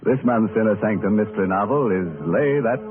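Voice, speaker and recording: Man playing a deep-voiced, ghoulish radio horror host. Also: close to an everyday voice. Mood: mock-sinister glee. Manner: sardonic, drawn-out, theatrical delivery. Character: ghoulish, gleeful, mock-sinister male host of a horror show. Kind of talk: 1940s radio horror hosting with ghoulish puns. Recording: muffled vintage 1940s radio, organ music.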